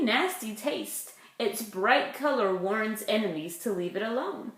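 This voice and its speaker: Animated, slow-paced woman teaching little kids. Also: high voice. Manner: asks simple questions, emphasizes and explains words for small children. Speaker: preschool teacher. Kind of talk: children's circle-time lesson and picture-book read-aloud. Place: indoors in a room at home. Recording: roomy, echoey home recording.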